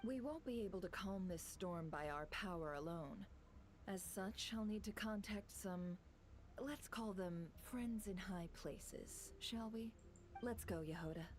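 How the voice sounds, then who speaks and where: A woman voicing a calm, composed ally in a video game, with a wry touch. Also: medium pitch, a little slow, soft background music, lightly acted.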